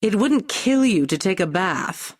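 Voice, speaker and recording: A woman's voice: mid-range voice, very animated, measured pace. An actress playing a spoiled, luxury-loving female adventurer in a fantasy game, haughty and complaining. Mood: disdainful. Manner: disdainful, complaining.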